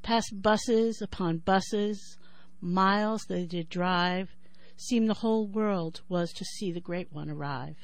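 A woman in her sixties with a New York accent who talks thoughtfully with many pauses and then reads her poem in a measured rhythm. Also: fairly low pitch, no echo. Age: 60s. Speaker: poet and writer. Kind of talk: interview and poetry reading.

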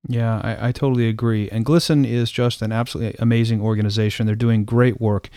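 A male radio host with a mid-range voice reads smooth, scripted introductions and thanks his guests. The sound is dry and close, with no room in it. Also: medium pitch, little pitch movement, quick pace, dark tone, no echo. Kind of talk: radio interview hosting.

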